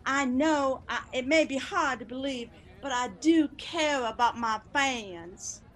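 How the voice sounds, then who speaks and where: A woman's voice: sits high, takes it slowly, a bit bright. A woman playing a grand, self-important older soap actress in a comedic radio sketch, theatrical in manner.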